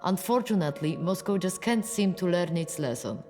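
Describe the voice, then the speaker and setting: A woman's voice: fairly low pitch, animated, normal speed, a little mellow, in a large hall, faint music behind, lightly acted. An actress playing a frank, wary Soviet woman scientist in a video game. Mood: bitter, frank. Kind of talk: video game voice acting, cutscene dialogue.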